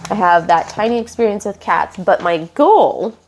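A young woman hosting a podcast, hoarse and stuffed up from illness, rambling casually with lots of fillers and apologies while walking around her house on a headphone mic. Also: mid-range voice, expressive, moderate pace, a little mellow, a little hiss or hum. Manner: meandering, many fillers and apologies, casual swearing. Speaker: podcast host. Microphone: headphone mic into phone.

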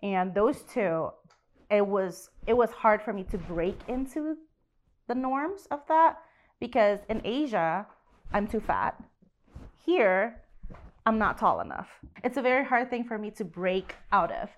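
A woman's voice: mid-range voice, animated, a little slow. Woman from Indonesia, co-host, frank and easygoing in conversation. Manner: candid, laughs easily. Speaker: podcast co-host. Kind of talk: casual chat.

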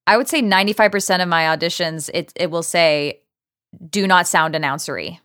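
A woman's voice: lowish voice, a little fast, fairly bright tone, clean tone, no echo. Emphatic chatty woman voice actor, dry close sound. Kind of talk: casual panel chat.

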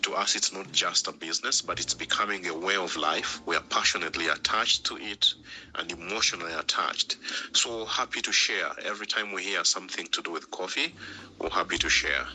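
A man's voice: low voice, brisk, pushed and bright, low-quality recording. A man who has a cold, speaking as a coffee company director on an online panel over remote call audio, chuckling and enthusiastic. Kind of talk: casual online panel talk.

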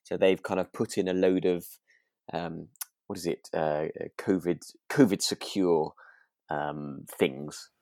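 Low-voiced British man chatting on a podcast. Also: fairly even intonation, takes it slowly, warm tone, no echo, clean studio sound. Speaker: podcast co-host. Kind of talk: casual chat.

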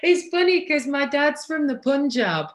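Woman hosting a chat, lots of yeahs and mm-hmms, relaxed conversational tone. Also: high voice, unhurried. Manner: supportive, frequent back-channel agreement. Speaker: podcast host. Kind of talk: conversational interview.

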